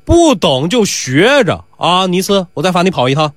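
A man's voice: high voice, very animated, moderate pace, no echo, studio quality. A man voicing a bossy, impatient master berating his servant in a comic folk tale. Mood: scolding. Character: impatient, scolding master of a household, male.

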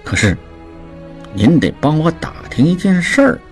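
A man voicing a calm, scheming merchant in an audiobook, speaking in a smooth, slyly jovial, unhurried way. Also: on the high side, faint music behind. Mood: coaxing. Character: sly, scheming male merchant, calm and calculating. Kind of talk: audiobook character voice.

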